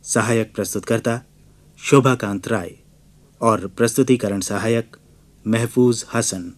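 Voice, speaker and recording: A man working as a radio announcer, reading scripted credits in a formal, measured manner. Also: fairly low pitch, fairly even intonation.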